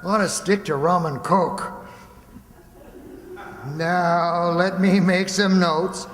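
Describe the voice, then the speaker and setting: A man's voice: high voice, fairly even intonation, extremely slow, a bit bright, full character voice. A man playing a bumbling, shifty small-town lawyer in a radio comedy, stammering and rambling as he muddles his words. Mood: blustering, muddled. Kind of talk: old-time radio comedy acting on stage. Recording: echoey hall with long reverb.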